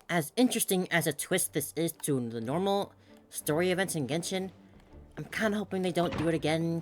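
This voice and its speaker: Low voiced gamer lady chatting casually to herself, music playing behind. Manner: musing, hums to self. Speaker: game streamer. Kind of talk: casual playthrough commentary.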